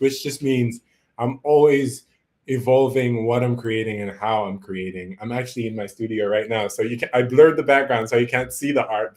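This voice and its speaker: A man from Malawi, a native Chichewa speaker, giving earnest, reflective interview answers in a halting, conversational way. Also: mid-range voice, darkish tone. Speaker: interview guest, musician and visual artist. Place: his art studio, on a video call.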